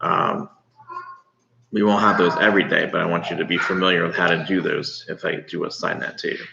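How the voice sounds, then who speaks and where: A middle-aged American man, a schoolteacher giving an informal, halting read-aloud lesson to his pupils. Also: deep voice, little pitch movement, creaky. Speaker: elementary school teacher. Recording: roomy, dull, faintly noisy home recording.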